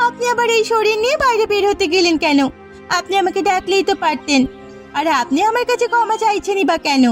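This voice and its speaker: A woman playing a gentle, hurt young daughter-in-law in an animated family drama, pleading earnestly. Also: very high voice, quick pace, soft background music. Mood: tender, earnest.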